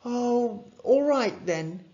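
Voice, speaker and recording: A narrator voicing a young boy's gentle, caring mother in a children's story. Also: medium pitch, expressive, drawn-out words, lo-fi sound, pitched above the performer's own voice. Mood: gentle, firm.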